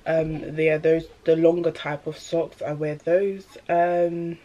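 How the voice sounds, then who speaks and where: A woman, chatting casually in a product review vlog, a little rambling and full of fillers, her voice slightly deepened by a cold. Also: little pitch movement, takes it slowly, warm tone, highs cut off, a little hiss or hum. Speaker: vlogger. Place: small bedroom indoors.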